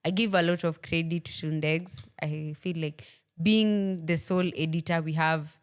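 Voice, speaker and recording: Giggly Kenyan woman podcast host, low-pitched. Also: steady tone, unhurried, smooth. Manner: playful, giggly, mixes English with Swahili slang. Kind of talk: casual football podcast chat.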